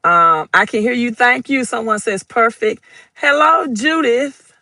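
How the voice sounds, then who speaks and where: A woman with a Southern US accent chats unhurriedly into her phone on a livestream. Her voice is bright and dry, with no room echo, and she greets viewers by name and reads their comments aloud. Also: on the high side, lively intonation, a little slow. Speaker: crypto livestream host. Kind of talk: casual livestream chat. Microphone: phone held vertically.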